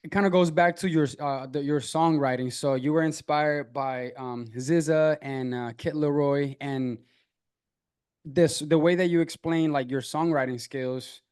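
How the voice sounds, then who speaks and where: Venezuelan-born man interviewing, halting. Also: on the high side, steady tone, a little mellow, clean tone. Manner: friendly, stumbling restarts, lots of "um". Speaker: interviewer host. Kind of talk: casual interview.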